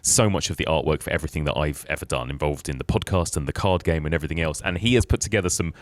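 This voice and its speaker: A man with a low, deep voice hosts an informal podcast conversation. He talks quickly and enthusiastically, stressing his points and tagging them with little checks for agreement. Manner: enthusiastic, emphatic, seeking agreement. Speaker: podcast host. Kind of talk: casual enthusiastic podcast chat.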